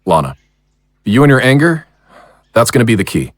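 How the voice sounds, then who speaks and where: A man's voice: medium pitch, big pitch swings, normal speed, no echo, lightly acted. A man playing a commanding monster hunter who leads a ritual battle in an audio drama. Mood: focused.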